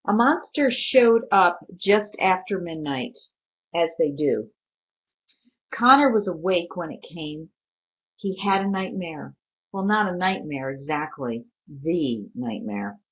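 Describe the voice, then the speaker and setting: A woman narrates a story in a low, husky voice. She reads slowly and deliberately, pausing for drama. The recording is a little dull and lo-fi. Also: expressive. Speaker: reader. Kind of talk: storybook read aloud, expressive scripted narration.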